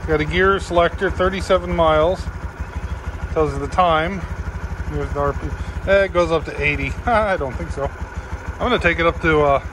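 Middle-aged male vlogger, slightly high voice, plain-spoken and offhand, phone audio with clear background noise. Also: normal speed. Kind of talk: casual chat to camera. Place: parked or moving pickup cab, later outdoors on gravel drive.

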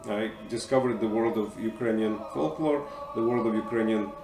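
A man in his fifties from Moscow with a Russian accent, giving a thoughtful, halting personal testimonial. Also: on the low side, roomy sound, music in the background, rough recording. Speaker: musician and teacher. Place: living room, indoors.

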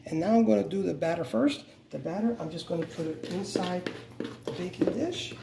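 A middle-aged man walks viewers through a home recipe in a relaxed, instructive tone. He often corrects himself as he goes. He is recorded by a camera across a bright home kitchen, so the sound is roomy, distant and carries background noise. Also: sits high, normal speed, creaky. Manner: relaxed, instructive, self-correcting, repeats "go ahead and". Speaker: home cooking show host. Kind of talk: casual step-by-step cooking demonstration. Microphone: camera mic from across the kitchen.

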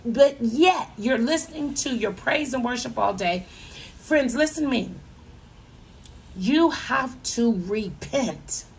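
A woman minister gives an earnest sermon in a hoarse, husky voice with a gravelly edge. She speaks slowly and a little high, addressing the listener directly and doubling words as she presses her points. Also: rough recording. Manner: earnest, repeats words for emphasis.

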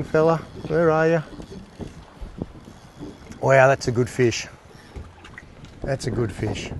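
A middle-aged man hosting a fishing show, giving excited, exclaiming commentary from a small boat. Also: on the high side, slight background noise. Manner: enthusiastic, exclaiming, talks to the fish and his mate.

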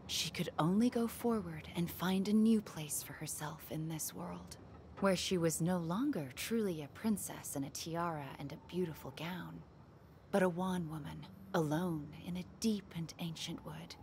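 Soft-spoken melancholy mom woman actor, lowish voice, measured storybook delivery for a narrative game, faint ambience. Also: close to an everyday voice. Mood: hushed, wistful. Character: tender, melancholy mother of young children. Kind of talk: reading a bedtime story, dramatic game acting. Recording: background noise and room ambience.

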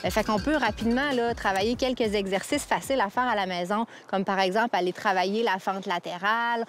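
A woman in her thirties with a Québécois French accent, explaining ski technique clearly and practically outdoors in a snowy park. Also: mid-range voice, a little fast, soft background music. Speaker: cross-country ski coach. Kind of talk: instructional explanation.

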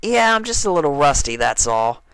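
A man's voice: on the high side, big pitch swings, normal speed, vocal fry, a bit bright, clear, resonant tone, no echo, pitched above the performer's own voice, full character voice. A man voicing a brash, hot-tempered young female pegasus pony in a story reading, defensive and boastful. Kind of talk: animated audiobook character voice.

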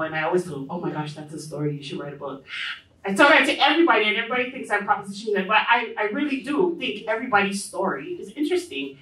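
A woman's voice: medium pitch, fairly bright tone. A middle-aged woman from Hawaii talking informally on a panel, telling anecdotes and quoting people as she goes. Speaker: panelist, self-publishing publisher. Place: indoor panel event room. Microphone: handheld microphone. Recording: roomy echo, distant event sound.

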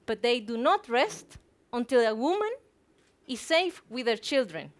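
A woman's voice: a little high, very animated, a little slow. A woman in her thirties from Guatemala, a native Spanish speaker with a Guatemalan accent, giving a prepared talk on stage into a headset mic. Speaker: conference speaker. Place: stage in a theatre hall.

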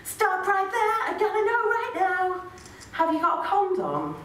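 A woman's voice: extremely high pitch, huge pitch swings, unhurried, a bit bright, distant mic, voice pushed up, exaggerated voice. A woman on stage voicing a demanding, melodramatic teenage girl in a parody love duet, declaiming insistently. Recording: echoey venue from afar, faint noise.

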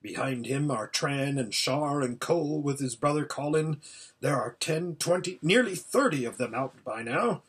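A man's voice: a little high, expressive, normal speed, husky. A man voicing an impatient old hermit seer in a storybook, giving an anxious, exclamatory running report. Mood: excited, relieved. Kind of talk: storybook character voice.

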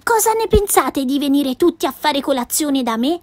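A cheerful little girl full of tall tales, put on by a woman reading a storybook. Her voice rides high and bouncy, with plenty of exclaiming. The recording is dry and close. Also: lively intonation, moderate pace, dry recording. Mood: boastful.

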